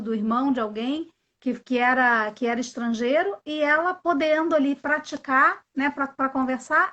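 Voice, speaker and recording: High-pitched woman teaching on a live call. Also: sharp tone, lo-fi sound. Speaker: English teacher hosting a live stream. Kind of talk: casual conversational teaching.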